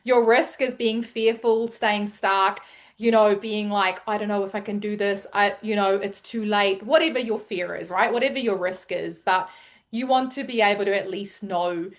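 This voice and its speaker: A woman speaks in an upbeat, encouraging tone, filling her sentences with "like" and "right" as she talks to her audience. Her voice has an ordinary, mid-range pitch. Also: moderate pace. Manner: encouraging, upbeat, lots of fillers. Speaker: co-host educator. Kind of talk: casual motivational chat.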